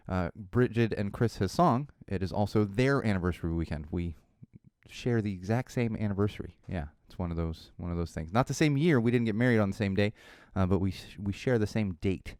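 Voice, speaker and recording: A man in his thirties from Southern California, hosting a casual solo podcast, a bit hoarse, rambling and self-deprecating, with lots of fillers and restarts. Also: lowish voice, darkish tone, no echo, studio quality. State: slightly hoarse. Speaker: podcast host.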